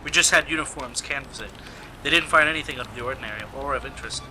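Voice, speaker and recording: A dutiful male police sidekick in a radio mystery, played by a man. His mid-pitched voice is plain and matter-of-fact, recorded at home with clear noise behind it. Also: very fast, bright, forceful tone. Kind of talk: amateur radio play acting. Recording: noisy amateur home recording.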